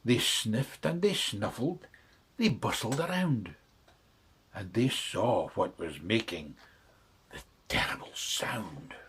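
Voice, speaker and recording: A male storyteller reads rhyming children's verse at a slow pace. His delivery is dramatic, with lively swings in pitch and a slightly husky, breathy tone. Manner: dramatic, rhythmic verse reading. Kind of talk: children's bedtime story reading.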